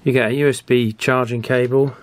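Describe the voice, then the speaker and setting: A man's voice: medium pitch, little pitch movement, brisk, a little hiss or hum. A man with a British accent giving a casual, unscripted product review, thinking aloud with chatty, drily understated asides. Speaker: YouTube radio reviewer.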